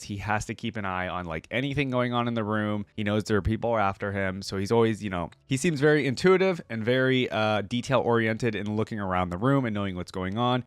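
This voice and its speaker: A man's voice: fairly low pitch, no room sound. A man hosting a reaction video, moving between casual commentary and reading a story aloud.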